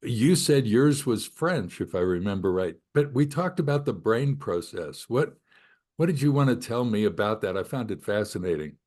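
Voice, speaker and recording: A man's voice: fairly low pitch, lively intonation, moderate pace, a little mellow. An older man hosting a podcast interview, asking rambling, chatty questions with plenty of fillers. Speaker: podcast host. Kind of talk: casual interview.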